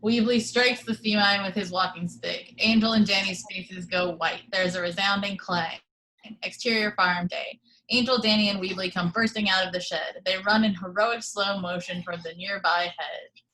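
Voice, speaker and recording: Bright woman reading stage directions. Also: mid-range voice, moderate pace, a little hiss or hum, rough recording. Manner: steady, matter-of-fact reading. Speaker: reader of the action description. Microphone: video call.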